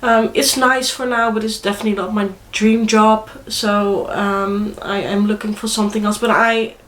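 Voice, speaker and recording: A woman's voice: mid-range voice, steady tone, normal speed, a bit bright, echoey room, slight background noise. A young woman from the Netherlands with a Dutch accent, speaking with a cold in a chatty, hesitant vlog to camera. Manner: chatty, hesitant, many fillers and restarts. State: congested with a cold. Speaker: vlogger. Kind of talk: casual chat to camera.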